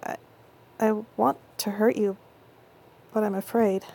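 A woman voicing an intense young girlfriend in an intimate audio roleplay, speaking very slowly in a husky, gravelly voice. Her tone is dark and mellow, and she drops into close, breathy whispers and halting stammers. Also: mid-range voice, fairly even intonation. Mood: tender, conflicted.

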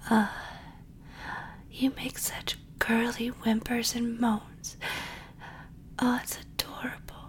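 A woman in an intimate audio roleplay, speaking softly and coaxingly, often dropping into whispers between breathy sighs and murmurs. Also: on the high side, flat delivery, slow, long pauses. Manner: soft, coaxing and doting, slipping into whispers.

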